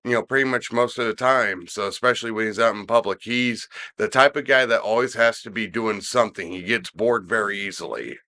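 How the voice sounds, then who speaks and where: A man's voice: lowish voice, a little fast, few pauses, pushed and bright. A middle-aged man with a slightly nasal, congested voice, chatting casually as a podcast host in a rambling, opinionated, colloquial way. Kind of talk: casual wrestling chat.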